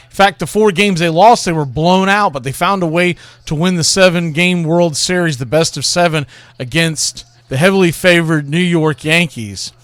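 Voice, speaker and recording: A man's voice: a little high, fairly bright tone, clear, resonant tone, no echo. A man hosting a baseball show, reminiscing and commenting in a rambling, conversational way about classic calls. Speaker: baseball radio show host.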